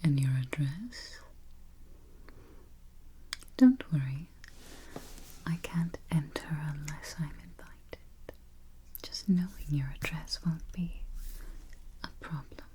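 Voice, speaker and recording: A woman whispering softly and calmly in an ASMR roleplay as a vampire travel agent, close to the microphone. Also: deep voice, a little hiss or hum, poor audio. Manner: soft whispering, unhurried and reassuring. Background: keyboard typing and taps.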